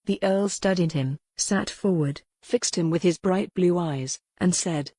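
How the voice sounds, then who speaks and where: Woman reading an audiobook, lowish mellow voice, dry studio sound. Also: unhurried, no echo. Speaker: audiobook narrator. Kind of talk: scripted narration.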